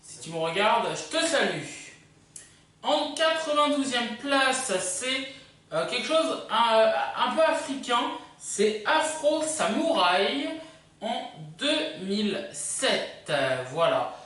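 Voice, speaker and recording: The voice comes through with heavy room echo, a little far from the microphone. It belongs to a video host with very animated pitch, talking off the cuff in a hesitant, rambling way. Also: slow pace. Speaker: video host presenting a countdown. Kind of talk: casual unscripted presenting to camera. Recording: echoey room, distant microphone.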